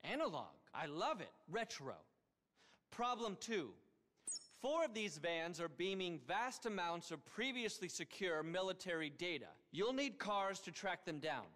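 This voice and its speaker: Pompous, high-voiced tech billionaire. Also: male voice, animated, a little slow, a bit bright. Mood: grandiose. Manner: pompous, grandiose keynote-style delivery. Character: eccentric egotistical tech billionaire man, manic and paranoid. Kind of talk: video game cutscene acting. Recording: long reverb of a cavernous hall.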